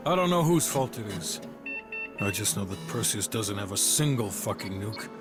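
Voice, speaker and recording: An actor playing a cynical, hot-tempered American operative in a video game, blunt and exasperated. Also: male voice, on the low side, sing-song, moderate pace, music in the background. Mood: frustrated. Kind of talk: cinematic video game acting.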